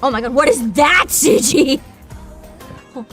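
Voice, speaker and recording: A woman chatting casually as a livestream host, excitable and giggly, with dramatic emphasis. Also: high-pitched, measured pace, music in the background.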